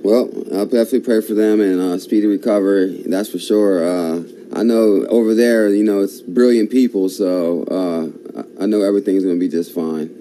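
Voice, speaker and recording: Dark-voiced man hosting with eager wows. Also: fairly low pitch, fairly even intonation, moderate pace, hardly any pauses, a little hiss or hum, rough recording. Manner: enthusiastic, frequent exclamations of wow and amazement. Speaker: host. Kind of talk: conversational interview.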